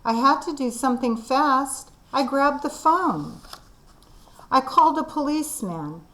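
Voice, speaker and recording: A woman teacher reading a children's storybook aloud, expressive and deliberate. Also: high voice, rough recording. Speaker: kindergarten teacher.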